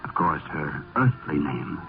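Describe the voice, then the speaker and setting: An actor playing a grave, ominous storyteller, narrating solemnly and dramatically in the style of 1950s radio. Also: male voice, very deep voice, sing-song, exaggerated voice. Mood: solemn, brooding. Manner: solemn, portentous, slow dramatic delivery. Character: grave, ominous male storyteller of horror tales. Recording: tinny old radio recording over music.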